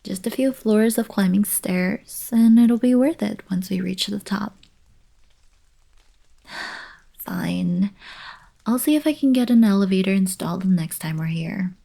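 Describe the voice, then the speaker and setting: Woman acting soft, husky, slow-talking young alien princess. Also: on the low side, full character voice. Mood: playful teasing. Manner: soft, breathy, casual teasing delivery. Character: playful, teasing young alien princess, fond college girlfriend. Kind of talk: intimate ASMR roleplay, scripted monologue to the listener.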